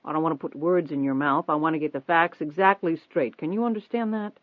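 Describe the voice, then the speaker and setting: Guy doing a confident nosy reporter man, pressing hard, audiobook, higher-ish voice, sounds like a phone line. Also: fast talker, no room sound, lightly acted. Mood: firm. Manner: insistent, steady, probing. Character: pushy, persistent male newspaper reporter, coaxing and confident. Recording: thin phone-like band-limited audio.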